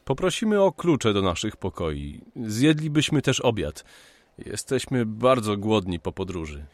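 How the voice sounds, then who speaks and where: An actor playing a practical travelling surveyor and loyal friend in a historical radio drama, brisk and polite. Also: male voice, fairly low pitch, sing-song, no echo. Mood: polite, hungry.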